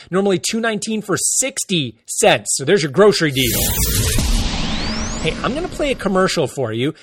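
A man hosting a casual money-saving podcast, chatty and joking between coupon deals. His voice sits a bit high and moves animatedly. The sound is dry and close. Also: dry recording. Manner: chatty, jokey, many "uh" fillers. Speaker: podcast host. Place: Florida.

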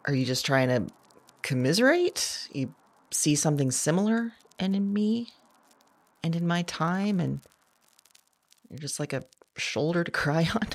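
A woman acting a searching, anxious fugitive visionary who talks to spirits, halting and correcting herself in an audio drama. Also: deep voice, animated, heavily acted. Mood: searching, emotional. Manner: halting, self-correcting, repeats words. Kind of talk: naturalistic audio drama monologue.

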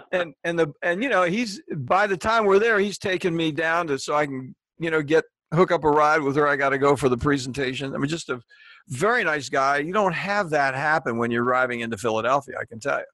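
A man's voice: a little high, fairly quick, fairly bright tone, no echo. A man hosting a podcast interview, talking casually with lots of restarts and asides, then closing the show. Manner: rambling, with frequent restarts. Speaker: podcast host. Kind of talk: casual interview chat, then scripted outro.

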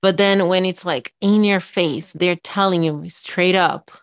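A woman with a Brazilian Portuguese accent talks candidly on a podcast, her voice sitting low. She tells her story openly, leaning on fillers like "you know" and "like.". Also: steady tone, unhurried. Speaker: podcast co-host. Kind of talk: casual chat.

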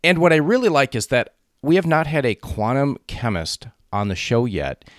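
A man's voice: mid-range voice, moderate pace, dry recording, clean studio sound. A man hosting an interview podcast, upbeat and enthusiastic as he introduces and questions his guest. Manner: upbeat, enthusiastic, animated intonation. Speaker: podcast host.

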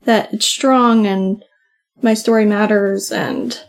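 A soft-voiced woman co-hosting a podcast, talking casually and often saying "like". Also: mid-range voice, fairly even intonation, slow. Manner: chatty, many "like" fillers. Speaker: podcast co-host. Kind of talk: casual conversation.

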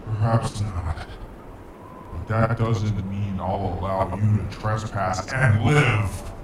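A man's voice: on the low side, takes it slowly. A man playing a vengeful, grieving ancient interdimensional monster in a sci-fi audio drama, speaking in grandiose, formal menace. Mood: bitter menace. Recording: noisy, echoing, distant-sounding.

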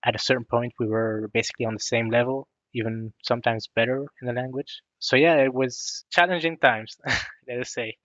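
A young man from Belgium whose first languages were French and Arabic, telling his own story in a measured, reflective way. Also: medium pitch, fairly even intonation, fairly bright tone, dry recording, lo-fi sound. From Belgium (West Flanders). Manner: measured, reflective, with small restarts. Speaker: guest sharing his story. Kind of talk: personal storytelling.

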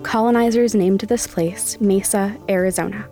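A woman's voice: medium pitch, steady tone, normal speed, dark tone. Woman, mid-range voice, scripted podcast presenter read, light music behind. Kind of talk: scripted announcement. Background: faint music.

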